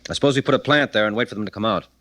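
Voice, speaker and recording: An actor playing a brisk, commanding police captain in a 1940s radio crime drama, clipped and authoritative. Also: male voice, on the low side, fairly bright tone, dry recording, natural voice. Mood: businesslike. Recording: faintly noisy 1940s radio recording.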